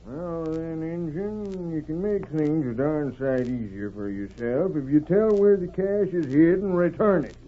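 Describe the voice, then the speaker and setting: Actor as a gruff, high-pitched, bossy frontier sheriff. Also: male voice, unhurried, few pauses, close to an everyday voice. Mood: coaxing, wry. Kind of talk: 1940s radio western acting. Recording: dull, noisy old radio recording.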